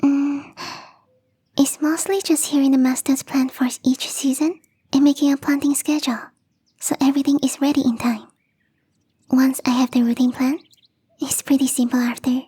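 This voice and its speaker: A young catgirl gardener played by a woman murmurs in a high, soft and breathy voice with a mellow, rounded tone. She is gentle and earnest, with a teasing streak, and confides in the listener as if up close. The delivery is intimate ASMR roleplay acting, whispery and tender. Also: flat delivery, normal speed, pauses often, close to an everyday voice. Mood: pleased, earnest.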